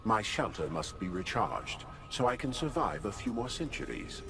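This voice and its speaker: An actor playing the ancient soul of a haughty court mage in a video game, speaking formally and with archaic condescension. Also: male voice, fairly low pitch, big pitch swings, normal speed, a bit bright, soft background music. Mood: haughty, solemn.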